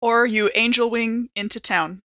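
A woman's voice: a little high, steady tone, normal speed, pushed and bright, no room sound. A woman chatting casually as a player in a tabletop role-playing game. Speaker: player on a game show podcast.

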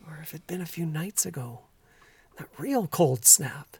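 An actor with a Canadian accent playing a troubled, earnest middle-aged rural husband in an audio drama, speaking plainly and haltingly. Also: male voice, a little high, expressive, darkish tone, slightly rough, dry recording, exaggerated voice. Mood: hushed, troubled. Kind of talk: naturalistic audio drama acting.

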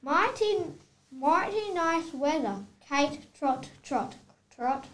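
Eager little cowboy, played by a child, high slow voice, faint noise behind. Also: expressive. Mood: playful. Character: eager cowboy child actor, easily fed up. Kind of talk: children's read-aloud play.

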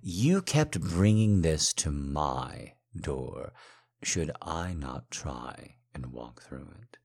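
Man acting as adoring male lover, deep voice, swooping intonation, teasing, gently commanding, seductive breathy murmur, erotic audio roleplay. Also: expressive, heavily acted. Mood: hushed, seductive. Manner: flowery, seductive, drawn-out phrasing with breathy whispers.

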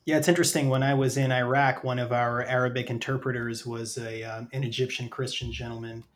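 A man hosting a podcast in a casual, conversational way, halting and hedging as he thinks aloud. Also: mid-range voice, flat delivery. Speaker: podcast host.

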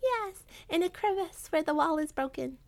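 A woman's voice: very high voice, expressive, normal speed, vocal fry, voice pushed up, full character voice. A woman voicing an anxious, honourable male rat in a children's story, pleading in wordy, very polite phrases. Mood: anxious, obliging. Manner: pleading, wordy, formally courteous. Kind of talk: children's animal storybook character.

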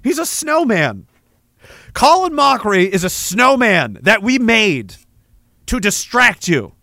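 A Canadian man with a high, bright voice rants in a loud, mocking, rambling way and swears often. His pitch swings widely, and the recording is close and dry. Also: very animated, takes it slowly, no room sound. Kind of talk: comedic rant.

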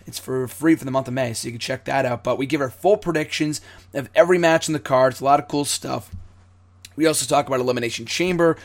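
Hoarse young man hosting a podcast. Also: medium pitch. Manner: excitable, rambling. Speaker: podcast host. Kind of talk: casual wrestling podcast chat.

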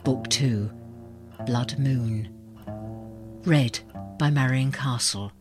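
A woman reading a novel as an audiobook narrator, in measured, expressive storytelling. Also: rumbling low, music in the background. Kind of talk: scripted literary narration.

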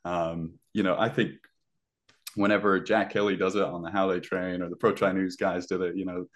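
A man's voice: deep voice, normal speed. A young man who grew up in Ireland, chatting casually as a podcast guest with a faint Irish accent and lots of fillers. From Zimbabwe. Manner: relaxed, many fillers.

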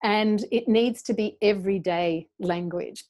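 Female educator as interview guest, medium pitch, earnest and passionate, explanatory delivery. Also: unhurried, darkish tone.

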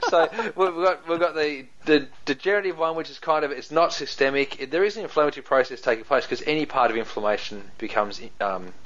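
A man's voice: fairly high pitch, fairly quick, hardly any pauses, a bit bright. A man with a New Zealand accent, a podcast guest explaining health matters in a casual way with many fillers and easy laughter. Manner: laughs easily, jokes, many fillers and restarts. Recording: dull lo-fi sound.

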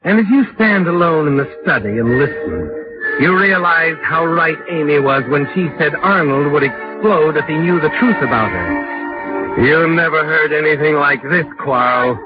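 An actor playing an ominous, knowing storyteller who taunts the culprit in dramatic, deliberate 1940s radio suspense narration. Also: male voice, on the high side, animated, lightly acted. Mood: knowing, taunting. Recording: dull vintage radio with loud music.

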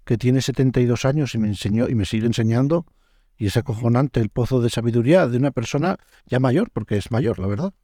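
A man's voice: mid-range voice, fast talker, warm tone, no room sound, clean studio sound. A man with a northern Spanish accent hosting a podcast in casual, colloquial, slangy interview chat. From northern Spain. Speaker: podcast host.

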